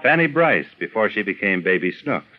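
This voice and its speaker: A man's voice: fairly low pitch, very animated, moderate pace. An older American man, a veteran announcer, reading a scripted documentary narration about his profession. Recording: dull vintage recording, music behind. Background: music.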